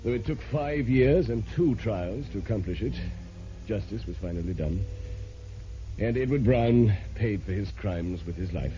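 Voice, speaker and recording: An actor playing a grave, knowing narrator who tells crime tales with dramatic moralizing in old-time radio style. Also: male voice, on the low side, animated, a little slow. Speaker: narrator and host. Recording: muffled vintage radio recording. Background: loud music.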